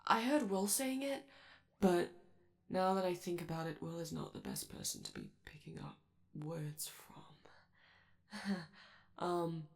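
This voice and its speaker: Woman doing a nervous friendly robot guy for asmr, lowish creaky voice, stammers and rambles, eager to please. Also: sing-song, takes it slowly, long pauses, darkish tone, heavily acted. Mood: awkward, friendly. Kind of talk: soft ASMR roleplay monologue.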